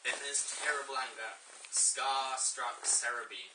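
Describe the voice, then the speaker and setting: A man's voice: medium pitch, a little slow. A man reads a children's storybook aloud to friends in a playful, dramatic, jokey way. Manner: dramatic, jokey, mock-teacherly asides. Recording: echoey room, distant mic, faint noise.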